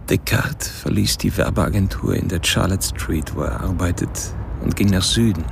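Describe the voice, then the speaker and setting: An actor playing a brooding narrator who tails people, giving scripted crime narration in a radio drama with a gravelly voice. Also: male voice, low-pitched, moderate pace, slight background noise. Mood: brooding, cold.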